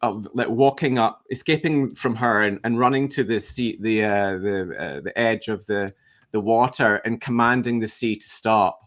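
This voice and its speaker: A man in his early fifties with a Scottish accent and a fairly low voice. He talks casually as an interview guest, pausing and hesitating as he reflects. His manner is self-deprecating. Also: a bit bright. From west coast of Scotland. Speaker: guest, entrepreneur and coach.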